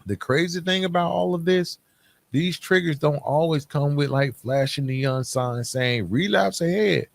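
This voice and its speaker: A man's voice: fairly high pitch, measured pace, gravelly. A man hosting a solo podcast, talking candidly and informally in a half-scripted, conversational way, checking in with "right?" as he makes his points. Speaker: podcast host.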